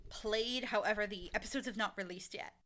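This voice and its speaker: A woman with a bright, crisp-edged voice at an average pitch answers as an interview guest. She talks casually and effusively, leaning on her words with animated emphasis. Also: fairly quick. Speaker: interview guest, audio drama creator and showrunner. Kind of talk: casual, enthusiastic interview talk.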